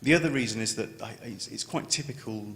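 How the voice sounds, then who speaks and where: Big echoey room w some hiss and rumble, guy with gravelly voice doing a technical conference talk, stumbles and repeats words. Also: fairly bright tone. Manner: halting, with stammered word repetitions. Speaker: scientist presenting at a conference. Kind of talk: technical conference presentation, unscripted. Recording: echoey hall, noticeable background noise, low rumble.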